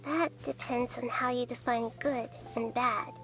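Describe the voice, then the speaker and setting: A woman voicing a small, wise young goddess who speaks softly and explains things in a measured way. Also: a little high, fairly even intonation. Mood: calm. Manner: soft, measured, gently explaining. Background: game music.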